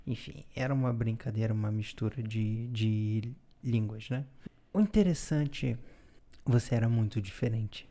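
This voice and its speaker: A man, co-hosting a podcast and explaining things in a calm, casual way, often ending with a questioning tag. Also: lowish voice, measured pace. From Brazil. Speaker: podcast co-host. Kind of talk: casual conversational storytelling.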